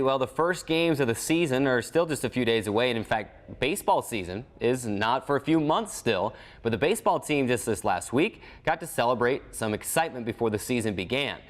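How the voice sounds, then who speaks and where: A man with an American accent hosting a college sports recap show. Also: mid-range voice. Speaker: sports show host and play-by-play announcer.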